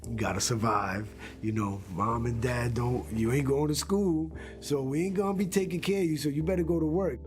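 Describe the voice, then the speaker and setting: Animated man recalling in an interview. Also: mid-range voice, moderate pace, few pauses, soft background music. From Rhode Island, US. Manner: animated, conversational. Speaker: interviewee, former rap group member. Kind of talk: documentary interview.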